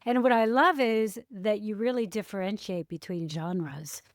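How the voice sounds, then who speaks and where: A woman's voice: medium pitch, unhurried, dry recording, studio quality. Encouraging woman hosting a podcast. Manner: encouraging, reactive. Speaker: podcast host. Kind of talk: casual interview chat.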